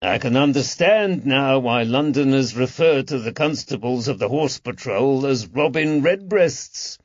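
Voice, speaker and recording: A man's voice: mid-range voice, animated, measured pace, dry recording, dull audio, close to an everyday voice. A man voicing a witty, urbane tailor shop owner in his thirties, teasing, in an audiobook.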